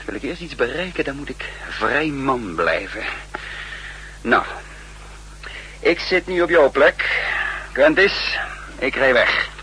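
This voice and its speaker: An actor playing a shrewd, weary spymaster on the run in a 1960s radio drama, speaking deliberately and broodingly. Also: male voice, medium pitch, big pitch swings, sharp tone, heavily acted, rough recording. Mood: urgent, resolute. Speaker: lead actor and first-person narrator. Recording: humming, hissy 1960s radio recording.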